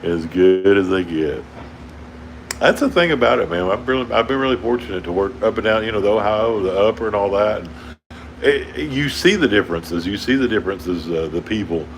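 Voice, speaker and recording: A man with an Oklahoma drawl talks to his livestream viewers in a rambling, easygoing way, chuckling now and then. His voice sits a little low. An air conditioner hums steadily behind him. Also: animated, brisk, a little hiss or hum, rough recording. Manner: rambling, laid-back, chuckles often. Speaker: towboat worker livestreaming. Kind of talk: casual livestream chat with viewers.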